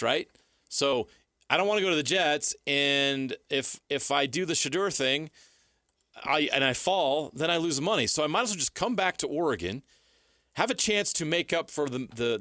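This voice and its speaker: A man's voice: on the high side, pushed and bright, no room sound. Blunt American man talking sports, dry studio sound. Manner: opinionated, blunt, informal. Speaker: sports talk show co-host. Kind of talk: conversational sports commentary.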